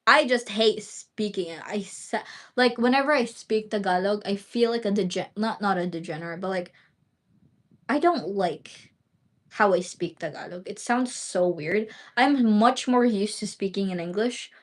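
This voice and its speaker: A woman's voice: medium pitch, moderate pace. Woman streamer from the Philippines, Bisaya speaker, loose rambling chat full of fillers and casual swearing. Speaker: livestreamer.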